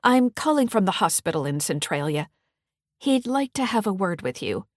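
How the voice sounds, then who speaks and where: A woman voicing a polite, brisk female caller from a hospital. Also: medium pitch, very animated, no room sound, studio quality.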